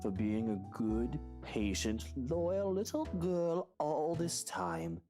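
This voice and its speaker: An actor voicing a scornful, sardonic married man in a visual novel, with a British accent and a low, rumbling, mocking delivery. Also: very animated, slow pace, dark tone. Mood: sarcastic. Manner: sarcastic, mocking, theatrical. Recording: game music behind the voice.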